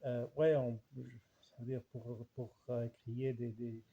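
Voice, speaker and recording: Male, Arabic accent and mother tongue. Very dark, relaxed timbre with fry; halting, repetitive, informal delivery. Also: mid-range voice, lo-fi sound. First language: Arabic dialect. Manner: halting, searching for words, much repetition. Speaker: language researcher and writer. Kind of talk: informal spoken reflection.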